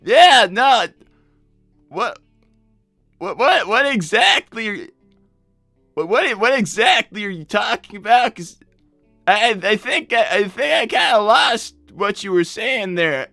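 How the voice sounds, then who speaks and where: A man's voice: high-pitched, big pitch swings, unhurried, long pauses, sharp tone, dry recording, faint music behind, heavily acted. A man voicing an earnest, easily flustered young cartoon fox inventor who stammers and repeats words in improvised live roleplay. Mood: indignant.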